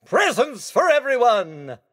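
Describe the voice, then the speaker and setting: A man voicing a theatrical, excitable skeleton gentleman in a racing video game, with courteous, exclamatory delivery. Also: very high voice, slow, full character voice. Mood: gleeful. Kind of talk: video game character voice clips.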